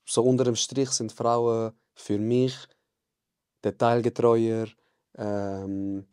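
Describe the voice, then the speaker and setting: A man's voice: medium pitch, flat delivery, slow pace, warm tone, clear, resonant tone, no echo, studio quality. A man hosting a podcast interview, talking in an encouraging, rambling way. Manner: encouraging, rambling, frequent mhm and sag ich mal. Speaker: podcast host.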